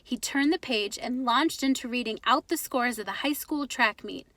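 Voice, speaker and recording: A woman reading a children's book aloud as an expressive storyteller. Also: fairly high pitch, moderate pace, no echo.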